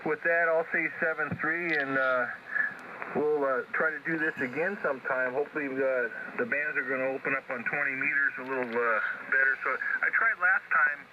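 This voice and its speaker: A man's voice: medium pitch, a little fast. A man, an amateur radio operator, chatting casually over a shortwave radio link as he checks in. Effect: shortwave ham radio transmission. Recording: dull, very noisy ham radio signal.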